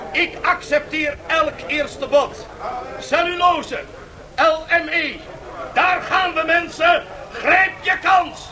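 A male actor playing a brash, mocking auctioneer in a radio play, with loud, theatrical market-barker patter. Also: very high voice. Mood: rousing, sardonic. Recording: faintly noisy 1970s radio drama tape.

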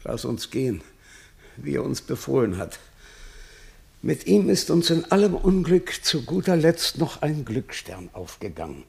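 Creaky, humble old shepherd. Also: male voice, medium pitch, big pitch swings, a little slow, darkish tone. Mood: relieved, hopeful. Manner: deferential, plain-spoken. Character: humble, earnest old peasant shepherd, anxious before his betters. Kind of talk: theatrical German radio play acting.

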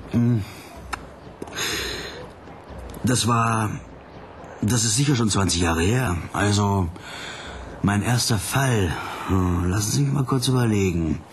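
A man's voice: on the low side, unhurried, a little hiss or hum. An actor playing a jaded, self-important male pop detective who recalls old cases in dry, wry radio play narration. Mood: wry reminiscing. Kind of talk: hard-boiled first-person radio play narration.

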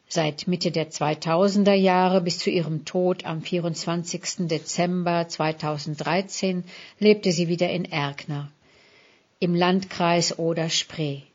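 A woman reading a scripted literary feature as a presenter, in a measured, articulate manner with deliberate emphasis. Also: fairly low pitch, darkish tone, slightly rough, muffled recording.

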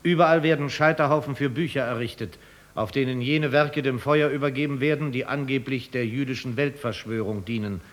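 A male voice of medium pitch an actor's plays a 1930s news announcer in a radio play. He proclaims the bulletin gravely and formally, with clipped emphasis. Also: steady tone, fairly quick, natural voice.

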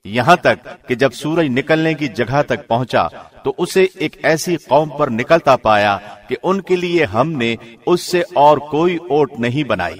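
Male presenter type, mid-range voice, measured and formal, scripted reading of sacred text translation. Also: medium pitch, expressive. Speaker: translation reader, radio presenter. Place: studio.

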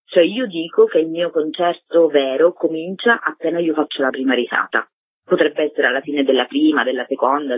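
A woman's voice: low-pitched, husky. A woman, a musician talking casually as an interview guest. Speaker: singer and musician being interviewed. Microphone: telephone. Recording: tinny phone line with echo.

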